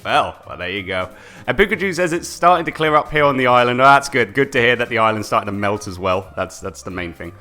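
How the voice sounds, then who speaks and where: A young British man co-hosting a casual live gaming stream, chatty and quick to laugh, often repeating words. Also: medium pitch, lively intonation, pushed and bright, faint music behind. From United Kingdom. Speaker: co-host.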